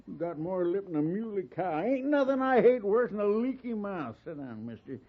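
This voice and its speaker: Loud braggy old cowboy tycoon, western drawl, high voice swinging all over, old radio drama. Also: male voice, big pitch swings, normal speed. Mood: belligerent, commanding. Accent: American Western. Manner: blustering, repeats himself, barks orders. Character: loud, boastful, bullying old Texas cattle baron. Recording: muffled 1950s radio recording.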